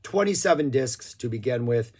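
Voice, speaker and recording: Casual male reviewer, mid pitch, opinionated and talky, voice a little worn. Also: animated, moderate pace, darkish tone, husky. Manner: chatty, opinionated, loose with filler words. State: throat a little rough, clearing it now and then. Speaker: music review vlogger. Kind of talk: casual spoken album review.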